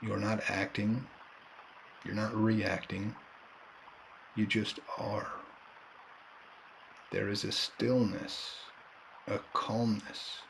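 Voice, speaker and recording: A man leading a guided meditation in a calm, unhurried manner, leaving long pauses between short phrases. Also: fairly low pitch, creaky, a little hiss or hum, poor audio. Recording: background nature ambience and birdsong. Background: nature and bird sounds.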